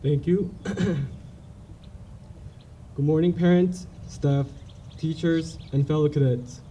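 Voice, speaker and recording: Young Korean-accented man, earnest graduation speaker, a bit high, dark tone, distant mic. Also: fairly even intonation. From South Korea. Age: young adult. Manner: earnest, halting with frequent restarts. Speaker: salutatorian cadet. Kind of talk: graduation speech. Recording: distant mic, light noise.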